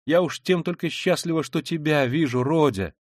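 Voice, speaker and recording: A man's voice: on the high side, brisk, a little mellow, no echo, studio quality, voice pushed up, natural voice. A man voicing an anxious, doting middle-aged mother in a storybook, flustered, effusive and pleading.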